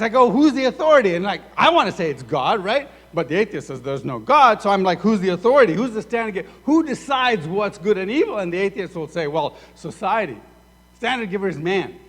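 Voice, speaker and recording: Animated preacher in an echoey hall. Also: male voice, high voice. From Sudan. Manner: animated, acts out both sides of dialogues. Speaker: pastor. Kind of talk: sermon. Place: church sanctuary.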